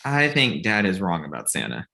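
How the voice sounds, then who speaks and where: A man's voice: low voice, huge pitch swings, normal speed, vocal fry, sharp tone, full character voice. A man playing a naive, earnest, slightly whiny son in his thirties who adores Christmas, in a comedy table read. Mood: hurt, naive. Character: naive thirty-six-year-old son, childishly devoted to Christmas and Santa.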